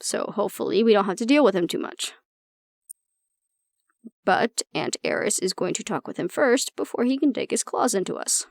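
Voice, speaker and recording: Woman acting creaky-voiced, anxious young tough softy. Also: medium pitch, animated, no echo, studio quality. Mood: worried, affectionate. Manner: casual, confiding, sweary, pausing. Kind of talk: intimate audio roleplay addressed to the listener.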